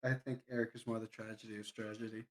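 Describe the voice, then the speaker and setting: A man's voice: lowish voice, level tone, fast. A man co-hosting a casual chat, playful and teasing.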